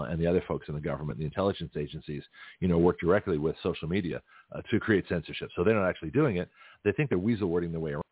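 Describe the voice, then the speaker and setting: Fast-talking low-voiced guy, kinda husky, rambly radio host, sounds like over the phone. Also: no echo. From Canada. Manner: rambling, with frequent restarts and chuckles. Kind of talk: casual political talk radio monologue. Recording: tinny phone-line audio.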